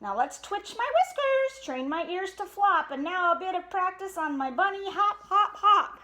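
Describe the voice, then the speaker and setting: A woman plays a silly storybook papa bear, bursting with big ideas. He exclaims boastfully in a high voice that swoops up and down. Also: expressive, normal speed, bright, forceful tone, full character voice. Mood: excited, inspired.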